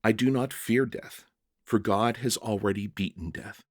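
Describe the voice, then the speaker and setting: Man acting gentle doomed saint, serene, kindly, slow measured delivery, storybook drama, dry sound. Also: on the low side, no room sound. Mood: calm, unafraid.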